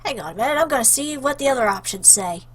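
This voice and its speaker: A woman's voice: high-pitched, expressive, fast talker, fairly bright tone, husky. A woman hosting a game playthrough, commenting casually and playfully and reading lines aloud. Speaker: gaming video host.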